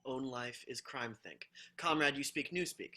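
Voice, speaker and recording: Man reading stern zealot assistant, bright tense voice, clipped jargon. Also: mid-range voice, moderate pace, lightly acted. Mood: dogmatic. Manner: clipped invented jargon, stiff and dogmatic. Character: rigid patriotic teacher's assistant, stern zealot. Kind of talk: amateur play reading.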